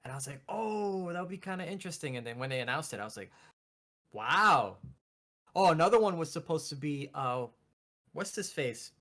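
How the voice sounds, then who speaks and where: A man co-hosting a casual chat, excitable and given to repeating his phrases for emphasis. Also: a little high, brisk. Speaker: podcast co-host. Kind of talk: casual chat about video game movies.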